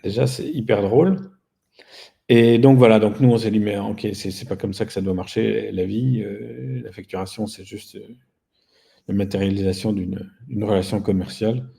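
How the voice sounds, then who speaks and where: Male interview guest, gravelly, mellow, wry, informal explanations. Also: lowish voice, steady tone, normal speed. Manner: informal, wry, self-deprecating. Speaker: guest, company founder. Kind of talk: casual explanatory interview talk.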